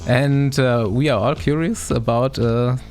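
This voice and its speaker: Faint music plays behind a man with a medium-pitched voice. He speaks as a casual podcast host, hesitating and searching for his words as he introduces things. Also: fairly even intonation, unhurried, a little mellow.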